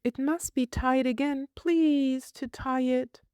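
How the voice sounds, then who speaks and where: A woman's voice: high voice, steady tone, slow, warm tone, smooth, no room sound, studio quality, voice pushed up. A woman voicing a small, solemn, homesick little girl in a storybook, speaking in precise, imperious, formal phrases. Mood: imperious, particular.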